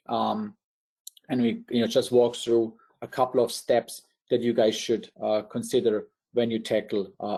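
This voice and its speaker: Swiss-accented male presenter, relaxed and mellow, chatty with many ums. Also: medium pitch. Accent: Swiss German. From Liechtenstein. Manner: conversational, many fillers and restarts. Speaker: webinar presenter. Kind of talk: presenting a technical webinar.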